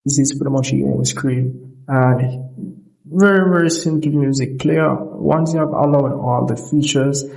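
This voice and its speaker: A man's voice: mid-range voice, a little slow, gravelly, darkish tone, poor audio. A man narrating an informal app tutorial as its host, explaining in a repetitive way and correcting himself.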